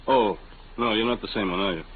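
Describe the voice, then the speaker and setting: A man's voice: lowish voice, fast talker. Harried, stubborn businessman on tinny radio. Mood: indignant. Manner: gruff, exasperated, indignant. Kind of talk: 1950s radio drama acting. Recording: noisy, tinny 1950s radio recording.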